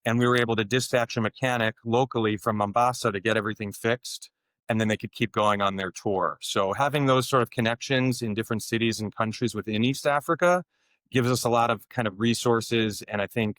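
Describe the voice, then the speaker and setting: A man's voice: medium pitch, steady tone, fairly quick, a bit bright, no room sound. A man co-founding a motorcycle tour business, answering interview questions in an easygoing way, thinking aloud with frequent restarts.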